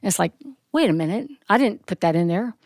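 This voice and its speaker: A woman's voice: medium pitch, lively intonation, a little fast, a little mellow, dry recording. A middle-aged woman, a storyteller, talking informally and emphatically to an audience and acting out reactions. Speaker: storyteller and actress. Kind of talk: talk to a studio audience. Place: studio.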